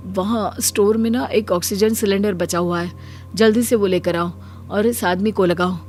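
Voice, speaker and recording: A weary but dutiful male doctor from a cartoon moral tale, acted out by a woman at a medium pitch. The delivery is earnest and compassionate, with faint music underneath. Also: steady tone, normal speed, a little mellow, natural voice. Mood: firm, urgent. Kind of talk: Hindi moral cartoon story voice acting.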